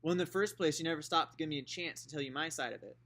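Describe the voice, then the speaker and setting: A man's voice: fairly high pitch, very fast, bright, forceful tone. A man voicing a defiant young girl who argues her side, raised and indignant.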